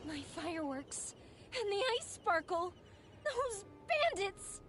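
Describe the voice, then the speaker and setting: A woman's voice: extremely high pitch, very animated, slow, over music, full character voice. A woman playing a distressed female fireworks maker in a video game cutscene. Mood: anguished.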